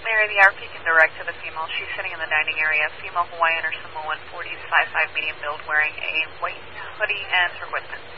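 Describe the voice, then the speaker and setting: A man's voice: a little high, fairly even intonation, quick pace, hardly any pauses, muffled recording. Man on very noisy, distant police radio. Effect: two-way radio. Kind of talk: police radio call details.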